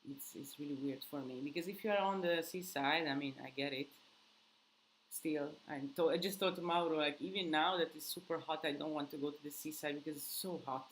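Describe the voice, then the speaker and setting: A woman's voice: sits low, fairly quick, slight background noise, low-quality recording. Chatty woman, non-native English. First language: not English. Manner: chatty, rambling, frequent restarts and fillers. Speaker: vlogger hosting a live Q&A. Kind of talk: casual live-stream chat with viewers. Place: countryside home.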